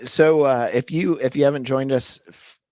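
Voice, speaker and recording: A man co-hosting a casual podcast chat, hoarse with a sore throat, talking close to his mic in a rambling, chatty way. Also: medium pitch, fairly even intonation, normal speed, a little mellow, dry recording. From Ohio. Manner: chatty, rambling, laughs often. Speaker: podcast co-host. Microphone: mic held very close.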